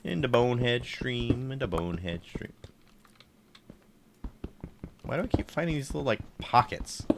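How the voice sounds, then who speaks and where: A man with a low, slightly congested voice chatting casually while playing a game on stream and thinking aloud. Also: very animated, fairly quick, creaky, noisy background. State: congested, throat tickle. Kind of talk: casual live gameplay commentary.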